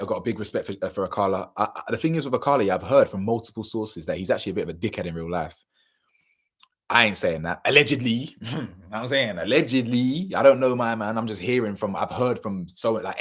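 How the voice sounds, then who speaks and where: A young man, chatting casually on a live stream and reading out viewer comments, slangy and animated, his voice a little hoarse. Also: on the low side, fast talker. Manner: slangy, animated, laughs often, drifts between loud bursts and mumbles. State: slightly hoarse.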